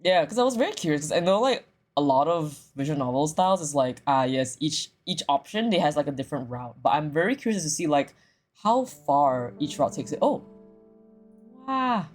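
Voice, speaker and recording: Low-voiced, excitable woman streamer. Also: moderate pace. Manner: excitable, repeats phrases. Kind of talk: casual livestream gaming commentary. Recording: music behind the voice. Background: game music.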